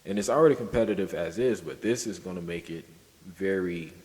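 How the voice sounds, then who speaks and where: A man co-hosting a podcast in casual discussion, halting and circling back over his points. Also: sits low, darkish tone, big-room sound. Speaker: podcast co-host.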